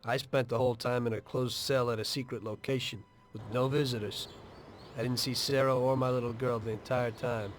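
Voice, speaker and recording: Game role: American ex-gangster played by a man, middle-aged, mid-range pitch, plain-spoken, understated and weary. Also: steady tone, brisk, slight background noise, close to an everyday voice. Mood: reflective. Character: weary, matter-of-fact ex-mobster telling his life story. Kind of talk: dramatic video game dialogue and narration.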